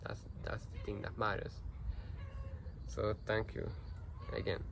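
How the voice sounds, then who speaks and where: A man's voice: fairly low pitch, level tone, unhurried, vocal fry. A teenage boy with Hungarian as his first language, talking earnestly to camera in a rambling vlog, full of fillers and restarts, recorded without a proper microphone. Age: teens. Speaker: vlogger. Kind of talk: casual self-reflective talk to camera. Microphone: no microphone, built-in device mic. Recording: noisy built-in mic recording.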